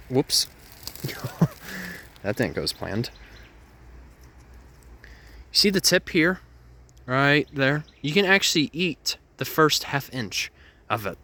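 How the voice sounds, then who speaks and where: A man's voice: medium pitch, pushed and bright. A man leading an outdoor nature walk, explaining plants in a chatty way and cracking puns at his own expense. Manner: chatty, self-amused with puns and asides. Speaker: nature guide. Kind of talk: outdoor educational walk-and-talk. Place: outdoors in a Florida hammock woodland.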